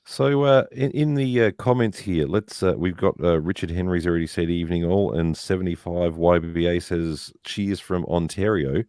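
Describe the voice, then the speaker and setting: A man's voice: deep voice, normal speed, hardly any pauses, a little mellow, clean tone, no room sound, clean studio sound. A man hosting a live stream, chatty and informal, with "uh" fillers, addressing guests as "mate". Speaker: livestream host.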